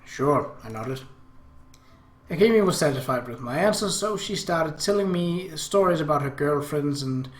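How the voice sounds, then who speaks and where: A man with a slightly high voice reads story narration from a visual novel as he plays. The recording is roomy, with a clear echo around his words. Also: lively intonation, normal speed, few pauses. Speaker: let's play host reading a game aloud. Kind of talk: reading visual novel narration and dialogue aloud.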